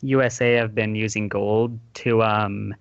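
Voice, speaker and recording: A young man organizing a gaming roleplay, explaining rules in a measured, hesitant way with many ums. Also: fairly low pitch, little pitch movement, a little hiss or hum. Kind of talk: explaining game rules in voice chat. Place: online voice call. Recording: lo-fi voice chat audio.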